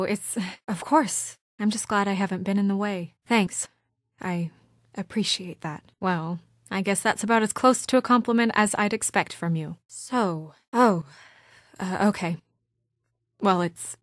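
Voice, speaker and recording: A woman playing an earnest, guarded young office administrator in a video game, with measured, soft-spoken, often hesitant delivery. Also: medium pitch, dry recording, clean studio sound, natural voice. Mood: tentative, softening. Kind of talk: naturalistic video game voice acting.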